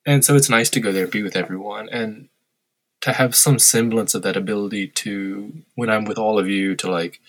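Low husky man, wry and reflective. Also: a bit bright, poor audio. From Korea. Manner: reflective, wry, occasionally blunt. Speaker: interviewee. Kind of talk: candid conversational interview. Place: lives in Tokyo.

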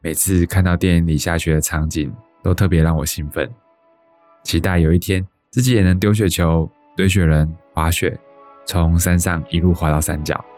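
Actor as low-voiced wistful young Taiwanese man. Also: fairly even intonation, normal speed, darkish tone, soft background music, natural voice. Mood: wistful, reflective. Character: wistful thirty-two-year-old man dreaming of life abroad. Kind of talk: reflective first-person radio drama narration.

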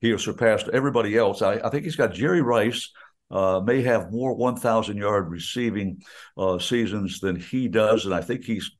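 A man's voice: fairly low pitch, moderate pace. An older man, a veteran radio announcer, chatting in a folksy, enthusiastic way and often restarting his sentences. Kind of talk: casual chat on a radio show.

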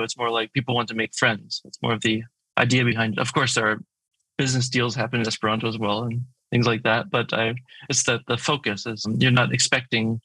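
Husky-voiced man eagerly explaining a language. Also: medium pitch, sharp tone, dry recording, poor audio. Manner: enthusiastic, explains with examples. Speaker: guest, language project founder. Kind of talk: explaining in an interview. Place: remote call from his home city.

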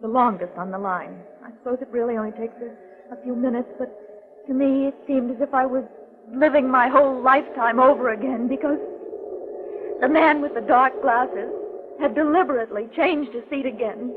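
A woman's voice: high-pitched, normal speed, noisy background, close to an everyday voice. An actress playing a frightened but composed woman, telling her story earnestly and hesitantly in a 1940s radio mystery. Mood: fearful. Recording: muffled, tinny 1940s radio recording.

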